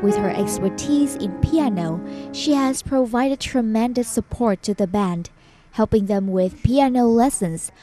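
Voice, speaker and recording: A woman's voice: a little high, warm tone. A woman giving formal, scripted documentary narration. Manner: measured, formal delivery. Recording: voice-over with music bed.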